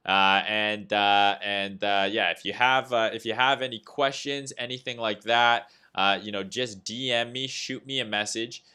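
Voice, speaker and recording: A man's voice: fairly low pitch, level tone, a little slow, hardly any pauses, pushed and bright, clean tone. A young Canadian man with a Canadian accent hosting a casual livestream, talking loosely through trading setups and replying to chat with lots of "uh" and "right?". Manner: loose and chatty, reads chat aloud, frequent "uh" and "right?". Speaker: livestream host, trading analyst. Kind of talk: casual livestream market commentary.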